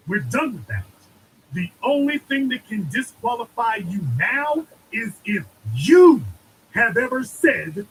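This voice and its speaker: High-voiced emphatic male commentator. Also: pushed and bright, slight background noise, low-quality recording. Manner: preachy, emphatic, repeats for emphasis. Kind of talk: political monologue.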